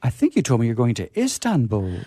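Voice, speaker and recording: A man hosting a casual on-air interview in a chatty, halting, wordy way, with playfully grandiose touches. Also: medium pitch, huge pitch swings, mellow, husky, no echo, clean studio sound. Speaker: radio host. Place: radio studio.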